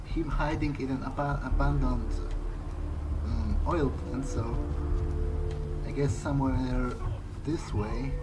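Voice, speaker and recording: A man playing a bewildered, newly turned vampire in a video game, musing earnestly to himself in halting, hesitant phrases. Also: mid-range voice, loud music behind the voice, natural voice. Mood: resolved, musing. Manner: halting, hesitant, searching for words. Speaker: video game player speaking in character. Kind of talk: in-character thinking aloud during a playthrough.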